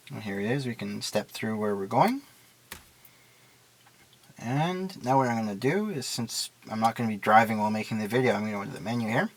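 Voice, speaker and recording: A man's voice: on the low side, creaky, fairly bright tone. A man giving a casual, unscripted product walkthrough in a matter-of-fact, explanatory way. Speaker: product reviewer and demonstrator.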